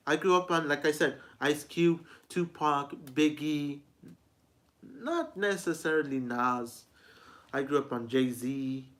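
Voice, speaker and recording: Halting young man with a highish voice. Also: fairly bright tone. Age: 20s. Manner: halting, repetitive, frequently restarting sentences. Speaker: music reviewer. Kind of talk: rambling personal vlog talk.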